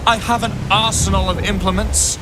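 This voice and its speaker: An actor playing an arrogant, scheming wizard villain in a fantasy video game, pompous and theatrical. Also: male voice, high-pitched, steady tone, normal speed, lightly acted. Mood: condescending menace. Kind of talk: dramatic video game acting. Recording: loud noisy ambience.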